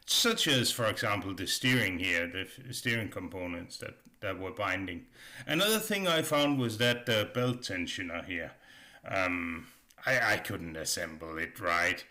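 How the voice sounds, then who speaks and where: A man with a fairly low voice and a clearly bright, forward tone narrates a casual hobby review. He speaks in a rambling, unscripted way, hesitating and repeating words as he goes.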